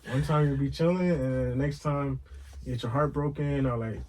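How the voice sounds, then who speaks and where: A man's voice: medium pitch, steady tone, brisk, darkish tone. A man co-hosting a casual podcast, talking in a thoughtful, rambling way, full of "like" and "um". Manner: thoughtful, rambling, heavy on fillers like "like" and "um". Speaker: podcast co-host.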